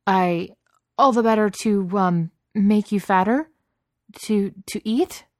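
A woman's voice: lowish voice, normal speed, darkish tone, dry recording, studio quality, close to an everyday voice. A woman voicing a huge but timid, apologetic young male beast in a story, hesitant and stammering, with teenage slang. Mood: flustered, desperate. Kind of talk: audiobook character voice.

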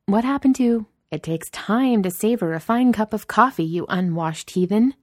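A woman's voice: mid-range voice, lively intonation, moderate pace, no room sound, pitched above the performer's own voice, natural voice. Brash female boss, put on by a woman narrator, teasing, theatrical, raised voice, close dry sound, for storytelling. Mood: gleeful teasing. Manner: teasing, mock-dramatic.

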